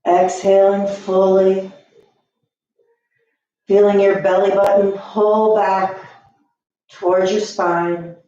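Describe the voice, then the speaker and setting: A woman teaching yoga, guiding breath and posture gently and soothingly with long pauses. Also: mid-range voice. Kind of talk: guided yoga and meditation instruction. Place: echoey indoor room. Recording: echoey room, slightly dull sound.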